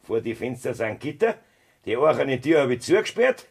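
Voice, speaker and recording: An actor with a raspy voice and Bavarian accent playing a cold, threatening farmer who bullies his family in a radio drama. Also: medium pitch, sing-song, moderate pace, full character voice. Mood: menacing, taunting. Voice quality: raspy, gravelly. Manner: taunting, threatening. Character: cold, menacing farmer bullying his wife and mother. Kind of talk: German dialect radio drama acting.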